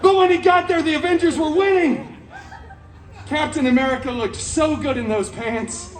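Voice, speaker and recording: A man playing a flamboyant, sarcastic antihero, telling a comic parody fairy tale to an audience with dramatic pauses. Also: very high voice, some chatter behind, pitched above the performer's own voice. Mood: excited, dramatic. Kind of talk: comic live storytelling to an audience. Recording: roomy, distant live event with music behind.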